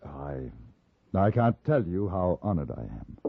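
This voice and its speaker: An actor playing a wry, polite English newspaper correspondent in a radio Western, with an English accent and an understated manner. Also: male voice, low voice, big pitch swings, a little slow, gravelly, exaggerated voice. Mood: dry politeness. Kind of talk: 1950s radio drama acting and narration. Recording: tinny, narrow 1950s radio recording.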